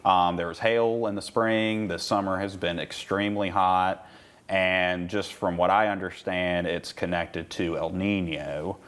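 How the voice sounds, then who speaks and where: Upbeat deep-voiced male host. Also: steady tone, measured pace, fairly bright tone. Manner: upbeat, enthusiastic questions. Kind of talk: university interview show.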